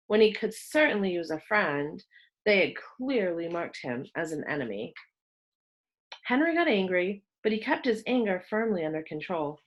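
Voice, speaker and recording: A woman narrates a children's book aloud. Her mid-range voice moves freely up and down in pitch, and she uses dramatic pauses to carry the storytelling. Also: lo-fi sound. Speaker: storybook reader.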